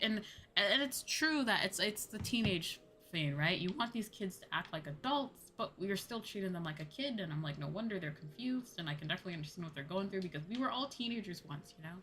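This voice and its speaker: A woman's voice: medium pitch, expressive, a little fast, fairly bright tone, soft background music. A woman streamer talking casually and conversationally in a livestream chat. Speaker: VTuber streamer.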